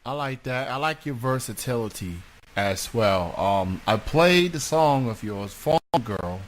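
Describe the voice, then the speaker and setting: A man's voice: medium pitch, expressive, measured pace, slight background noise. A man hosting a music interview show, easygoing and hesitant with ums. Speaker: radio show host. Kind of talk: casual interview.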